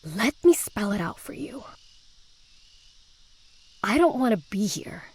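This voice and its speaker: A woman's voice: medium pitch, big pitch swings, slow, breathy edge, exaggerated voice. A woman playing a jaded, blunt teenage girl in a visual novel, with a dry, sardonic, curt delivery. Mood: curt, dismissive.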